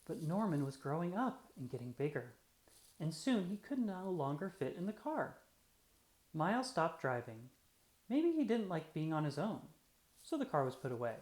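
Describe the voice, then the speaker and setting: A storyteller reading a children's picture book aloud with deliberate, expressive phrasing for young listeners. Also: medium pitch. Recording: roomy, echoey sound.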